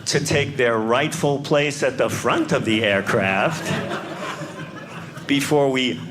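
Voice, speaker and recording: A man voicing an airline gate agent who talks slowly and condescendingly over the microphone. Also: mid-range voice, natural voice. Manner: slow, drawn-out, condescending. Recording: noisy live stage recording.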